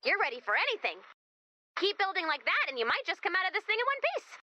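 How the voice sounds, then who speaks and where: A woman's voice: extremely high pitch, sing-song, quick pace, sharp tone, no room sound, full character voice. A woman voicing a quirky, wisecracking young American guide character in a video game, with a chatty, theatrical manner. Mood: playful encouragement. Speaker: mission guide character addressing the player commander.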